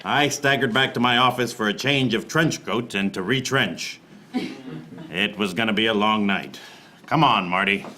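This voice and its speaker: An actor playing a wry, beleaguered private eye, narrating a noir radio play in a deadpan manner. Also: male voice, fairly low pitch, moderate pace, lightly acted. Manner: deadpan, fourth-wall-breaking.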